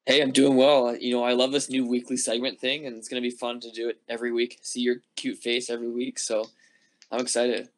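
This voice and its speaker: A man co-hosts a casual sports podcast, sounding upbeat and offhand. His pitch sits in the middle range for a man. He trades quick opinions in an easygoing way. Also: flat delivery. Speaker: podcast creator and co-host.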